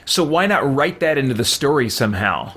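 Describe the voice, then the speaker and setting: A man's voice: medium pitch, sing-song, a little fast, a bit bright, husky. A man hosting a roleplay let's play, talking casually with playful, theatrical asides. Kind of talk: casual roleplay game commentary.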